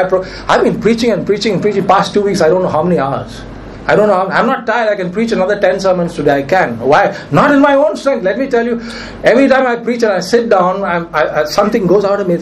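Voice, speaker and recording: High-voiced emphatic pastor. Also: male voice, a little hiss or hum, rough recording. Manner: emphatic preaching, repeats key phrases. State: nearly lost his voice. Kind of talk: sermon.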